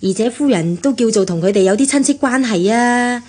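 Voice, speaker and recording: An actress playing a chatty, fussy household servant with Hong Kong Cantonese speech in a radio drama. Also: female voice, fairly high pitch, expressive, brisk, darkish tone. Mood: advising.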